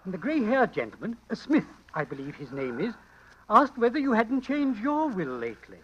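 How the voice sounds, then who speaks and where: Proper, nervous butler: high, animated voice, deferential stammer, vintage radio drama with muffled sound. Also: male voice, normal speed. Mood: flustered. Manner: formal, stammering when uneasy. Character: deferential, anxious manservant, formal and proper. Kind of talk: 1940s radio acting. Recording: dull, faintly noisy old radio recording.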